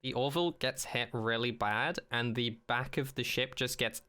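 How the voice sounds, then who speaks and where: Male podcast co-host, crisp and bright, loose rambling banter, informal and jokey. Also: medium pitch, moderate pace. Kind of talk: casual banter.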